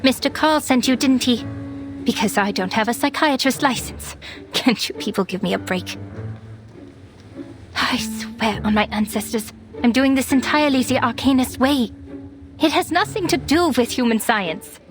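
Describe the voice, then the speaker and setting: Actress as a shrewd proud lady psychiatrist, kinda high voice, brisk and wry, game scene with music. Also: natural voice. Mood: exasperated. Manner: brisk, wry, self-assured. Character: shrewd, proud, exasperated unlicensed woman psychiatrist. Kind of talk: video game voice acting.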